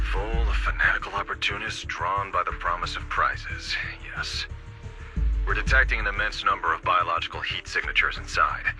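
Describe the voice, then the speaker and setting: Game casting: senior security officer, very low and crisp-edged, formal, measured, drily commanding, music underneath. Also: male voice, bright, forceful tone, low-quality recording. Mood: urgent, commanding. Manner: measured, formal, politely pointed. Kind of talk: English video game dub voice acting.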